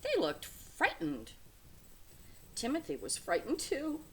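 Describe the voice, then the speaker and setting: A woman reading a children's picture book aloud with dramatic, deliberate phrasing, speaking to young listeners. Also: high-pitched. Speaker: read-aloud storyteller. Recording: steady background noise.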